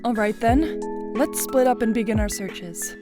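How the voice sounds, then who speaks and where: A woman's voice: fairly high pitch, measured pace, close to an everyday voice. A woman playing a composed, polite young organizer in a fan audio drama, speaking in a businesslike way. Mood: in charge. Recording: music under the voice.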